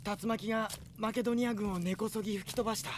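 A performer playing an earnest, excitable young male knight, blunt and boyish, in a radio drama. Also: medium pitch, fast talker, noisy background, lightly acted. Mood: shaken.

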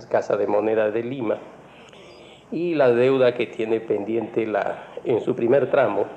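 A man with a Peruvian accent giving a formal, halting explanation as an interviewed economist. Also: on the low side, gravelly, mellow. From Peru. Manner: halting, hesitant, formal wording. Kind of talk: spoken explanation. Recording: muffled, noisy lo-fi interview recording.

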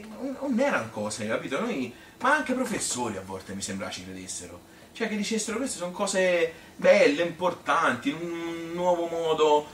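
Actor as rambling Tuscan storyteller, distant and echoey. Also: male voice, medium pitch, fairly bright tone, close to an everyday voice. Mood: nostalgic, animated. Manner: colloquial, rambling, hesitant repetitions, seeking agreement. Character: chatty Tuscan man reminiscing about his youth, wry and nostalgic. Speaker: stage actor performing a monologue. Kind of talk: spontaneous-sounding theatrical storytelling. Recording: echoey room, distant mic, faint noise.

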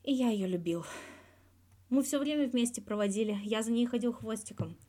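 Woman playing guarded hockey star in his thirties, soft mellow timbre, wry, candid, colloquial, audio fan fiction. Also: on the high side, normal speed, natural voice. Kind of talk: scripted interview in a fan fiction audio reading.

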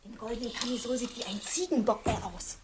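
An actress in a radio drama playing an adoring, naive young girl with a breathy voice and a coy, teasing manner. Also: on the high side, fast, heavy background noise, close to an everyday voice. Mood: adoring, hushed. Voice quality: breathy, often near whisper.

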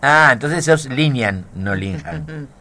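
A man's voice: on the high side, lively intonation, takes it slowly, vocal fry, pushed and bright, slight background noise. A man with a Latin American Spanish accent interviewing a guest in a polite, conversational and somewhat hesitant way. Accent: Latin American Spanish, voseo. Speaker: radio interviewer.

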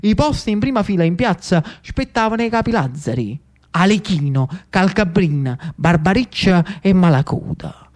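A man with a Neapolitan accent narrating theatrically as a storyteller-actor, in a dramatic, deliberate way. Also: high voice, lively intonation, darkish tone.

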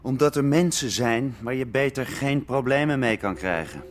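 A man's voice: medium pitch, expressive, moderate pace, a little hiss or hum, close to an everyday voice. An actor playing an outspoken, principled young squatter arguing his case in a Dutch radio drama. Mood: guarded.

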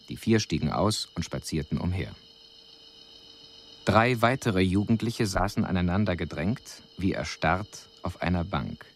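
An actor playing a detached alien envoy who delivers a formal, precise, clinical report in a radio drama. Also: male voice, low voice, slight background noise. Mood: clinical, observing.

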